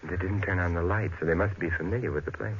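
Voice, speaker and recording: An actor playing a suave, unflappable amateur sleuth in a 1950s radio drama, speaking with urbane, dry irony. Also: male voice, deep voice, steady tone, fast, a loud crowd. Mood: cool, wry. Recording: muffled, noisy vintage radio recording.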